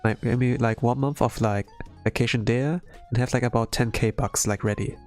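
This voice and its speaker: A man's voice: mid-range voice, fairly even intonation, moderate pace, a little mellow, faint music behind. A young man from Germany with a German accent, chatting casually on his art stream and rambling with lots of fillers. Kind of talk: casual chat while drawing on stream.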